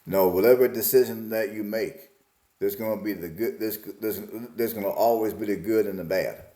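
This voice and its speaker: Reflective man in his forties, lowish voice. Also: steady tone, moderate pace, warm tone, roomy sound. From Alabama, US. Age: 40s. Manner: musing, repetitive, plain-spoken. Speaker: vlogger. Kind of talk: casual reflective monologue.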